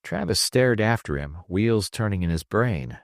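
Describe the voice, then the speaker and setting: A man reading fiction aloud as an audiobook narrator, in an expressive, theatrical storytelling manner. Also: on the low side, unhurried, dry recording, clean studio sound.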